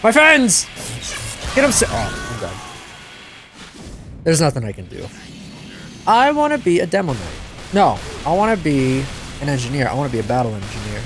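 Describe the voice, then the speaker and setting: A young man giving casual gameplay commentary, excitable, yelping and laughing at the action, his voice a little stuffed up. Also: medium pitch, normal speed, long pauses, music in the background. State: a bit stuffed up from crying. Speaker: gaming channel host.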